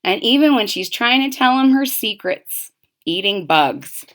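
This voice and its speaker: A woman with a highish, very animated voice reads a children's storybook aloud. She takes it slowly, drawing out key words for dramatic emphasis. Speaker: storybook reader. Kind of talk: expressive children's read-aloud with explanatory asides.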